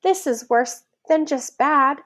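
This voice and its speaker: A woman's voice: sits high, takes it slowly, a bit bright, pitched above the performer's own voice. A woman voicing a sensitive, emotional young female flamingo in a children's storybook, speaking earnestly. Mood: dismayed.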